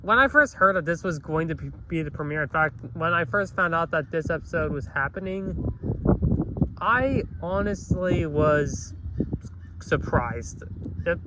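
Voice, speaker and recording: A man's voice: fairly high pitch, lively intonation, measured pace. Man, nasal, coughing, casual reviewer, rambling restarts, noisy outdoor street recording. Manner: rambling, with many restarts and self-corrections. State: has a cough.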